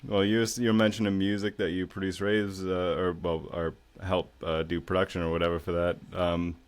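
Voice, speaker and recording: A man with a very low, deep voice hosts a casual podcast. He is laid-back and joking, and he swears offhandedly as he asks his questions. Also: flat delivery, normal speed. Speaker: podcast host.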